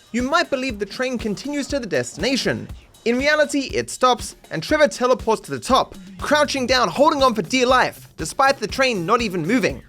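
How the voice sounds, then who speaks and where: A man with a high, very lively voice narrates a scripted comedic video about a game. His delivery is sardonic and mock-dramatic, with faint music playing underneath. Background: background music.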